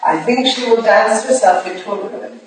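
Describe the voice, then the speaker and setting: A woman's voice: a little high, expressive, normal speed, close to an everyday voice. A woman playing a stern, proud, aging English lady, dry and imperious, in a staged drama reading. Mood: proud resignation. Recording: echoey hall, distant mic, faint noise.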